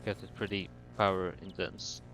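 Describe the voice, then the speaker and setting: Low-voiced man narrating gameplay steps. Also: normal speed, over music, poor audio. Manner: relaxed, talks through each step as he plays. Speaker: gaming tutorial creator. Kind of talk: casual gameplay tutorial commentary. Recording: clear background noise under voice.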